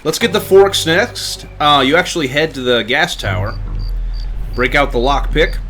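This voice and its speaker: A man's voice: on the high side, normal speed, pushed and bright. A man giving casual, chatty livestream commentary as he plays through a game, easygoing and given to swearing. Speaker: game streamer. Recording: faint game music behind. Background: game music.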